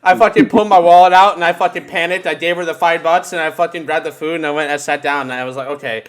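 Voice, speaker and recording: A man from the United States telling casual podcast stories in an animated way, with plenty of swearing and bro slang. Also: fairly high pitch, fast. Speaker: podcast co-host. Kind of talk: casual podcast chat and storytelling.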